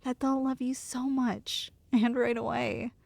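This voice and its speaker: A woman acts out a loving girlfriend in an intimate ASMR roleplay. Her voice sits a little high with a noticeable creak, recorded dry and close. She teases playfully and reassures her nervous partner tenderly. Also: little pitch movement, a little slow, darkish tone, dry recording. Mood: tender reassuring.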